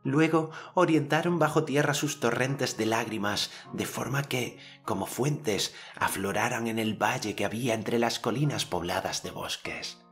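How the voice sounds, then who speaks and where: A man voicing a confident, generous boy hero telling tales in an audiobook, in a measured manner. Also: mid-range voice, fairly bright tone, soft background music, pitched above the performer's own voice. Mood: solemn storytelling. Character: generous, self-assured boy storyteller hero, inventing tales. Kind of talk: audiobook character narration.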